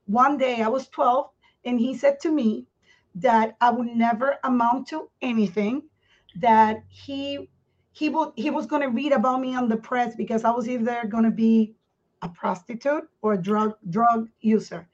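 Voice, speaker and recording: A woman, her voice set a little high. She talks candidly as a podcast guest, circling back and restarting her phrases. The sound is muffled and dull. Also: fairly even intonation, darkish tone. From Puerto Rico. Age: 50s. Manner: candid, earnest, speaks in halting restarts. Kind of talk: personal life story in a podcast interview.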